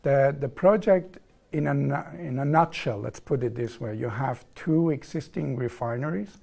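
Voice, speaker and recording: Man in his 40s, German accent, TV studio guest, measured, explanatory. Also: medium pitch, creaky, mellow. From southern Germany. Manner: measured, explanatory, repeats set phrases. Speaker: interview guest, engineer. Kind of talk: TV studio interview.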